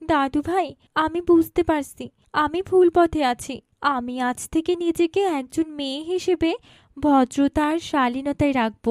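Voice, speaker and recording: A woman's voice: high-pitched, unhurried, no echo, studio quality. A woman voicing a polite, earnest village woman in a moral cartoon, with a Bangladeshi accent. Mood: humbled. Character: village mother and her polite, earnest grown daughter. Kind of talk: cartoon moral story dialogue.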